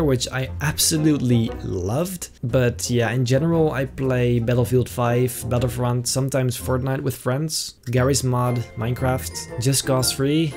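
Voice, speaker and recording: A young man talks through viewer questions in a loose, easygoing way. He hesitates, fills gaps with fillers and trails off into little asides. His voice sits at an ordinary pitch, and music plays clearly behind him. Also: a little mellow. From Netherlands. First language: Dutch. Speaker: YouTuber answering viewer questions. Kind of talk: casual Q&A chat. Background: background music.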